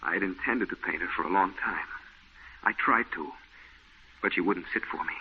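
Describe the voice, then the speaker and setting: An actor playing a haunted, fearful, guilt-ridden painter in an old radio horror play. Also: male voice, low voice, normal speed, husky. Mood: brooding confession. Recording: dull, band-limited old radio recording.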